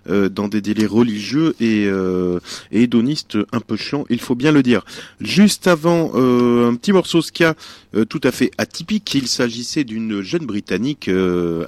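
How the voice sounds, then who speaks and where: A man hosting a radio music show, slightly hoarse, chatting casually about records and events with frequent hesitations. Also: mid-range voice, no echo. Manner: relaxed, many hesitations, enthusiastic music talk. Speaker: radio DJ host. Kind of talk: casual radio back-announcing.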